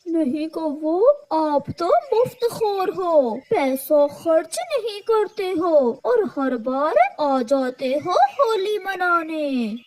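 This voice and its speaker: A woman's voice: very high voice, lively intonation, extremely slow, few pauses, a little mellow, slight background noise, full character voice, rough recording. A woman voicing a haughty male owl who loves sweets in a children's cartoon. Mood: dismissive.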